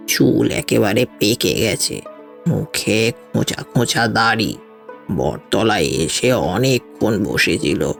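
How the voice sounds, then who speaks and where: A man playing an elderly village priest in an audio story, gently and sorrowfully recalling the past in a halting, reflective way. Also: on the low side, gravelly, exaggerated voice. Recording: music bed behind the voice.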